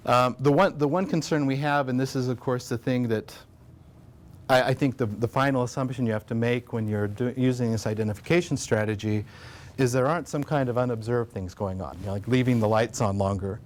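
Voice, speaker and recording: American man giving a relaxed academic talk. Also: mid-range voice. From United States. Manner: relaxed, many fillers and restarts. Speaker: conference presenter. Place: conference room.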